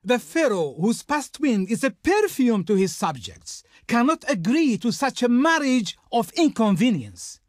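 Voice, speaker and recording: An actor playing a pompous, scornful envoy of the Pharaoh in a video game, imperious and insulting in a theatrical delivery. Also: male voice, extremely high pitch, very animated, a little slow, few pauses, no room sound, studio quality, heavily acted. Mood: haughty refusal. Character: haughty, scornful male Egyptian envoy of the Pharaoh. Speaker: diplomat character in a strategy game. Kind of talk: theatrical scripted game voice lines.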